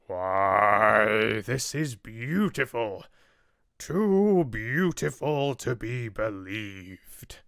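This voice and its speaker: A man voicing an ancient, awestruck oak tree in a fairy tale audiobook, with a grand, drawn-out delivery. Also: on the low side, animated, vocal fry, a bit bright, no room sound, studio quality, exaggerated voice. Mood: joyful, amazed.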